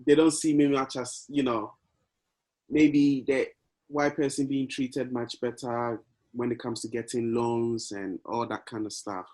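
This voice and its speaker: A man in a relaxed podcast conversation who mulls things over out loud. He speaks in an ordinary, mid-range voice and often ends with a question to his partner. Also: mid-range voice, a little slow. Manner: reflective, talks things through and asks questions. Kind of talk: casual conversation.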